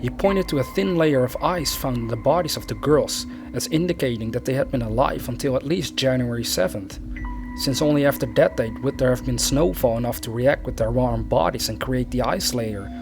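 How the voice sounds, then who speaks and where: A man's voice: mid-range voice, fairly quick, gravelly. A man reading a scripted true crime narration as the narrator of a video. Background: background music.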